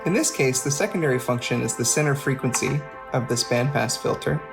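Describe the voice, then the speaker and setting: Male tutorial presenter, mid-pitched, methodical and technical, with prominent instrument sounds behind the voice. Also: fairly quick, creaky, over loud music. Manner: methodical, technical step-by-step explaining. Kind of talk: tutorial narration demonstrating a music device. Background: loud demonstrated instrument sounds.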